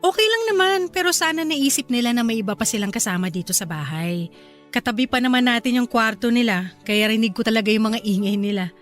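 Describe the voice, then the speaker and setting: An actress playing a gentle, caring young newlywed wife in a radio drama, speaking softly and affectionately. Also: female voice, a little high, expressive, fast, faint music behind, lightly acted. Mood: mildly bothered. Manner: soft, affectionate and considerate.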